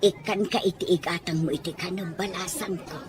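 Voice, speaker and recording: A woman's voice: on the low side, steady tone, fairly quick, crowd noise, background noise, close to an everyday voice. A Filipino woman playing a kindly, humble, motherly servant, emotive and deferential, in a melodramatic audio drama.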